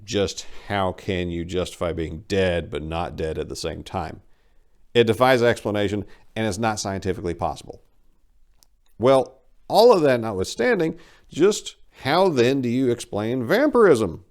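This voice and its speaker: A man performing a short story as a wry, rambling, incredulous accident survivor, animated and emphatic in a conversational way. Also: sits low. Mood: argumentative. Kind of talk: dramatic reading of a short story.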